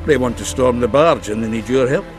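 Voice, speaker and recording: An actor playing a rough, indignant dwarf warrior in a fantasy video game, speaking bluntly and forcefully. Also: male voice, mid-range voice, brisk, over music, close to an everyday voice.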